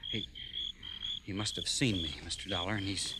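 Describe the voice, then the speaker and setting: A man's voice: low voice, fairly even intonation, moderate pace, close to an everyday voice. An actor playing a nervous, frightened man protesting his innocence, hesitant and stammering, in 1950s radio drama style. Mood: fearful, hesitant. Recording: noisy old radio drama recording.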